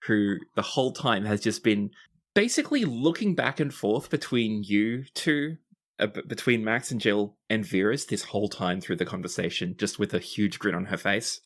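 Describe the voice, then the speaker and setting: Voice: mid-range voice, animated, moderate pace. A game master narrating scenes and characters' actions in a tabletop role-playing game.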